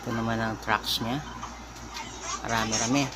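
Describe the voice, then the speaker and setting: A Filipino man giving a casual show-and-tell as a vlogger, speaking in short halting phrases and mixing in English. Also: on the low side, fairly even intonation. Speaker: vlogger, record collector. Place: at home. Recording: very noisy home recording.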